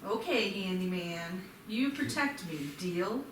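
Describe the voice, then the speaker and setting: A woman's voice: medium pitch, expressive, slow. A woman reading the part of a sheriff's teasing, affectionate wife in an amateur table read, with a playful manner. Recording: echoey, noisy, distant microphone.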